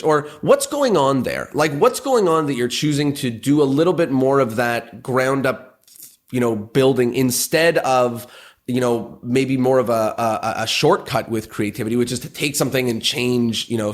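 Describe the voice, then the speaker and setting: A man's voice: mid-range voice, lively intonation, normal speed. A man hosting a magic podcast, asking long, winding questions packed with "you know" and restarts. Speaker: podcast host.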